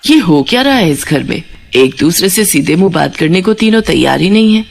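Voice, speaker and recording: A woman's voice: on the low side, animated, brisk, vocal fry, soft background music, voice pushed down. Woman acting a loving older mother-in-law, exasperated. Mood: puzzled, worried. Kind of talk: Hindi cartoon moral story acting.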